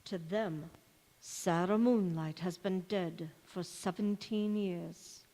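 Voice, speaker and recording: Woman acting a slow-spoken, tender confidante. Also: lowish voice, steady tone, dark tone. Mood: reassuring, reflective. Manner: fond, sympathetic. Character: tender, loyal older female confidante. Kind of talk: 1940s radio drama acting on stage. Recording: echoey hall, long reverb.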